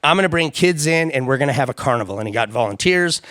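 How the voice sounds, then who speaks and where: Raspy, nasal male co-host, self-deprecating banter, dry studio mic. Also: medium pitch, expressive, fast, a bit bright, no room sound. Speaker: co-host of a charity gala. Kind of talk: banter-filled show hosting.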